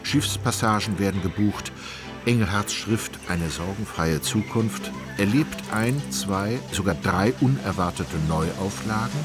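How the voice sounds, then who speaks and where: Low-voiced male narrator over music. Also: a little slow. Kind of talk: scripted literary radio play narration.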